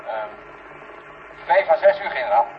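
An actor playing a level-headed, matter-of-fact astronaut in a 1970s science fiction radio play. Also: male voice, fairly high pitch, very animated, normal speed. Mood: businesslike. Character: level-headed male astronaut reporting from spacecraft. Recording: dull vintage radio recording with hum.

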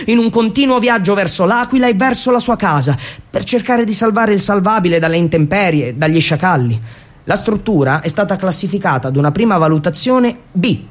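Guy doing theatrical storytelling for radio, pretty high voice, dramatic pauses, bit irreverent. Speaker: stage storyteller and actor. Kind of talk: theatrical narrative monologue for radio.

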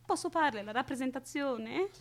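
An actress playing a whimsical, childlike and bossy captor in a radio drama, with a dreamy, theatrical, lecturing manner. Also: female voice, high voice, expressive, normal speed. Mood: haughty, lecturing. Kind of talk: stage-style radio drama acting.

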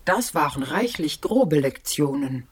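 An actress playing a dead wife's ghost in a radio play, dry, sardonic and reproachful, with a husky voice. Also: female voice, deep voice, animated, a little slow, full character voice.

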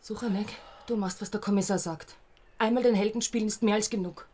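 An actress plays a woman with an average-pitched voice. She is worried, impatient and a little bossy, speaking naturally in the manner of a radio play. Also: fairly even intonation, fairly quick, a little mellow, slight background noise, lightly acted. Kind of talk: radio drama acting.

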